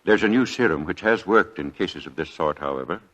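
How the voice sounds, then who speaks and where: A somber hospital doctor in a vintage radio play. His voice is extremely deep and measured, full of regret, on a dull old recording. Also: animated, a bit bright. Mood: grave. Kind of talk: 1940s radio drama acting.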